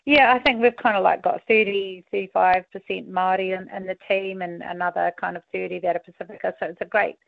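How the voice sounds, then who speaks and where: A woman in her forties with a husky voice, a rugby union deputy chair giving relaxed, filler-heavy radio interview answers. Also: mid-range voice, quick pace, hardly any pauses, no room sound. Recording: tinny phone line.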